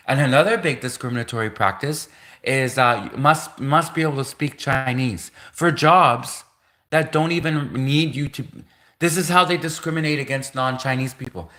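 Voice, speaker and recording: Bright-voiced man ranting on a livestream. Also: medium pitch. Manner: repetitive, insistent, many restarts. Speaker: livestream host. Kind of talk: livestream rant.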